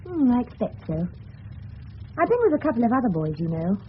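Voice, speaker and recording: Affectionate, seductive English wife, high and very animated, muffled vintage radio play. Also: female voice, normal speed. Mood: tender, coy. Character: affectionate, seductive married woman in 1930s English suburbia. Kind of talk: 1970s radio drama acting. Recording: muffled, noisy old radio broadcast.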